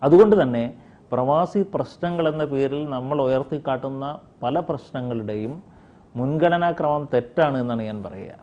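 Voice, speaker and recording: A man giving his opinions in an interview, deliberate and argumentative, with hesitant pauses. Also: medium pitch, mellow, lo-fi sound, slight background noise. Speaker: interview guest commenting on expatriate affairs.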